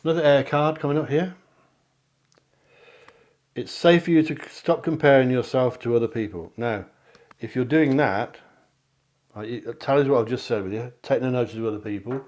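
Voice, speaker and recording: Guy doing a psychic card reading, lowish voice, talks right to you, repeats himself for emphasis. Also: a little mellow. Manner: repeats phrases for emphasis, addresses listener directly. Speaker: psychic medium, card reader, host. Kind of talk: tarot reading addressed to viewers. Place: at home.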